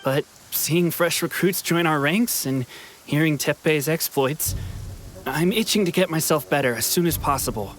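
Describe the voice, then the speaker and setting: Fairly high-voiced, sensible soldier played by a man. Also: normal speed, close to an everyday voice. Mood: earnest. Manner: conversational, mildly sceptical. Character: good-natured wounded male soldier, sensible and gently teasing. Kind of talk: video game character dialogue.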